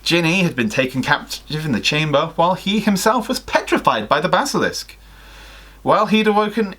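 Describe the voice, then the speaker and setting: Animated young man reading a story. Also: mid-range voice. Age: young adult. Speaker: guest reader on a livestream. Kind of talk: expressive fan fiction story reading with casual asides. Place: small home room with sloped ceiling.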